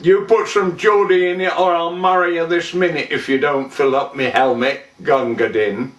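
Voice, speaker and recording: Guy doing a gruff british empire soldier, dramatic slow poem recital, sentimental, echoey room. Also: medium pitch. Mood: barking, scolding. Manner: theatrical, deliberate, with shouted calls and drawn-out phrases. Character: rough British colonial soldier recalling war, gruff and sentimental. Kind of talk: dramatic poetry recitation, monologue. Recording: echoey room, distant microphone.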